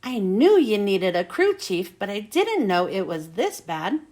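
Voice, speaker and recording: A woman's voice: medium pitch, big pitch swings, a little slow, a little mellow, voice pushed down. A woman voicing a bitter old retired race car in a storybook, terse and stern. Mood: stern, encouraging.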